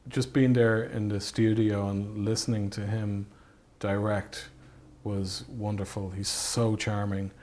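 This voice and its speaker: A man with a fairly low voice talks in a reflective documentary interview. He is thoughtful and halting, circling back over phrases as he searches for the next one. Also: darkish tone, a little hiss or hum. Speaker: writer and director.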